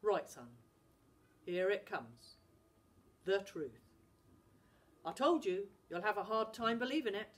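Woman doing a gruff father. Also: mid-range voice, animated, slow, long pauses. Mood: grave. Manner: loud scolding. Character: gruff Scottish fisherman father, stern.

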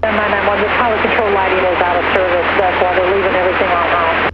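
Air traffic controller, rapid clipped delivery over very noisy aviation radio. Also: mid-range voice, level tone, highs cut off.

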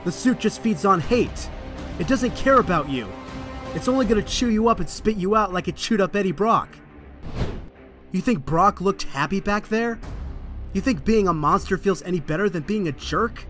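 A man's voice: sits high, animated, moderate pace, a bit bright. A man playing a young, quippy but earnest superhero in a comic dub. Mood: urgent, reproachful. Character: young male superhero, wisecracking, earnest and moral. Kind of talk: comic dub acting with narration. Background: music.

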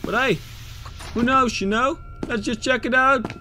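A man's voice: extremely high pitch, a little slow, pushed and bright, faint music behind. A young man with a Dutch accent, commentating a horror game as he plays, jumpy and swearing when startled. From Netherlands. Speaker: gaming YouTuber.

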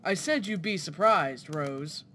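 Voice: mid-range voice, lively intonation, measured pace, bright, forceful tone, a little hiss or hum. An actor playing a proud, genial inventor professor in a formal, theatrical 1940s radio style. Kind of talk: 1940s radio drama acting.

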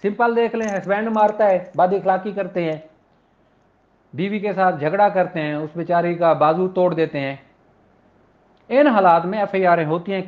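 A man with a Pakistani accent giving an informal, explanatory lecture as a law teacher. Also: high-pitched. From Punjab, Pakistan. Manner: explanatory, switches into slow deliberate reading. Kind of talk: informal lecture, explaining and reading out legal text. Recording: dull, lo-fi audio.

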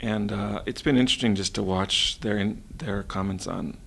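A man with a low, gravelly voice talks thoughtfully into a handheld mic, pausing often with fillers. He sounds like a programmer being interviewed in a small office room. A faint hiss sits under the recording. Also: steady tone, fairly bright tone. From Los Angeles, USA. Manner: thoughtful, halting, many fillers. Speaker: game programmer guest. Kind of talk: casual interview answers. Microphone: handheld mic held by interviewer.

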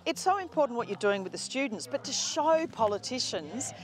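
A woman's voice: on the high side, very animated, fairly quick. A woman presenter interviewing a horse breeder, enthusiastic and upbeat.

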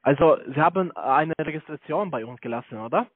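A man with a foreign accent, not a native speaker, making a hesitant telesales pitch for investments. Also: animated, no echo. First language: not German. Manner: halting, many hesitations. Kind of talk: phone sales pitch. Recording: tinny dry phone line.

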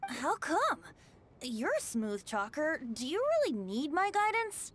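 A woman's voice: sits high, wildly swooping pitch, unhurried, fairly bright tone, slight background noise, exaggerated voice. A woman voicing a breezy teenage boy bard in an anime game, with a lilting, teasing delivery. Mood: playful. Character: playful, breezy boyish teenage male bard. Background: music.

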